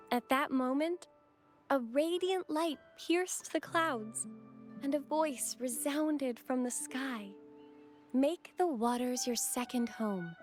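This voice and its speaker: Gentle, high-voiced young acolyte. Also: female voice. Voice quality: pleasant, clearly enunciated. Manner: formal, measured storytelling. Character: gentle young female acolyte guiding visitors, devout and courteous. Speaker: game character, guide. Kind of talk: scripted game dialogue and legend narration. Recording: background music under voice.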